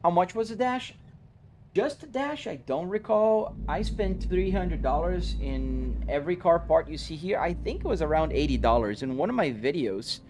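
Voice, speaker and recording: A man's voice: on the high side, lively intonation, moderate pace, a little mellow, slight background noise. A man in his forties chatting casually on a live stream while driving, reading out and answering viewers' questions about his gear. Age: 40s. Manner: casual, talks to chat, explains his setup.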